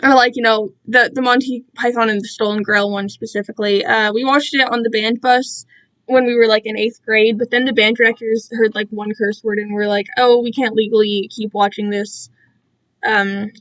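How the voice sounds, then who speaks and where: A woman's voice: medium pitch, level tone, moderate pace, fairly bright tone, poor audio. A young woman livestreamer chatting casually, digressive and slangy, with self-deprecating asides. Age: young adult. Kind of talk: casual rambling chat to livestream viewers. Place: alone in own room.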